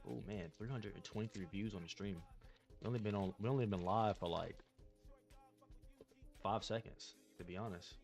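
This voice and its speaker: Low-voiced laid-back young man streaming. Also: normal speed, gravelly, pauses often, a little mellow, soft background music. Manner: laid-back slangy chatter to the chat. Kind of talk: casual livestream card-opening commentary.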